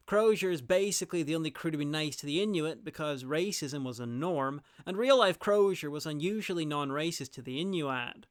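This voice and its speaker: A man's voice: a little high, dry recording. A man delivering a scripted review as a video host, with wry, dry asides.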